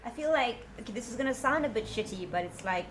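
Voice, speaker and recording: A woman giving a casual tarot reading to her viewers, chatty and earnest, her voice a little raspy. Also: on the high side, expressive, fast talker, a bit bright, a little hiss or hum. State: slightly raspy voice. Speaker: tarot reader.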